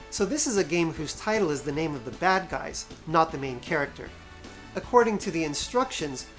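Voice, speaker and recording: A woman with a low-pitched voice narrates a scripted video game review over music. She speaks as the host, wry and sarcastic, landing emphatic stresses on key words.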